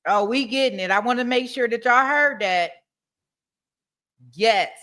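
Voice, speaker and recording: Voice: medium pitch, unhurried, pushed and bright. A live-stream commentator chatting casually to viewers, talking back to them and laughing often.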